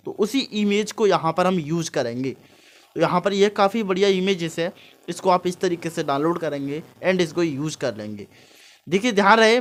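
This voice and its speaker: A man hosting an informal, step-by-step screen tutorial. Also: high voice. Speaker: tutorial host.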